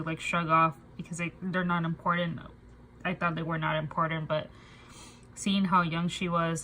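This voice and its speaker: Young woman w a stuffy nose, lowish voice, talks to the camera in halting bits with lots of ums, faint hum behind her. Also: low-quality recording. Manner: halting, soft, with many fillers and restarts. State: congested with a cold, nasal. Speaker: budgeting vlogger. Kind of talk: casual personal talk to camera.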